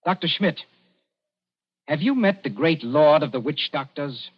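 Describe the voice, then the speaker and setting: An actor playing a cool young colonial official, speaking in a dry, unhurried way in a 1940s radio drama. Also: male voice, on the high side, expressive, breathy edge. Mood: calm. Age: young adult. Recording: dull 1940s radio broadcast.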